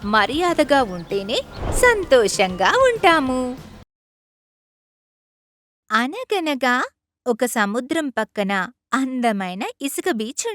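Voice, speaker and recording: High-pitched, animated woman storyteller. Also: no room sound, clean studio sound. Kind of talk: scripted children's moral-story narration.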